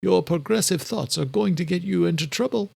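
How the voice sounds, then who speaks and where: A loyal vampire warrior, played by a male narrator speaks higher than a standard male reading voice. His manner is courtly and formal, in a dry audiobook recording. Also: fairly quick, gravelly, a little mellow, slightly rough, no room sound, heavily acted. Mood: wry, weary. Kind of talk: dramatic audiobook character voice.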